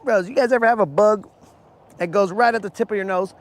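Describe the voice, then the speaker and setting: Hoarse, slangy fishing host outdoors. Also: male voice, sits high, brisk. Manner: casual, slangy, calls viewers bro. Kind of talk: live-stream chat while fishing. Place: outdoors on a boat on a lake.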